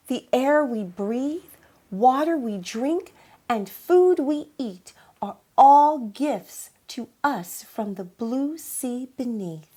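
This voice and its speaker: A woman voicing an earnest mermaid who pleads for her oceans in a measured, dramatic rhyming picture-book read-aloud. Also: on the high side, darkish tone, husky, full character voice. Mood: earnest pleading.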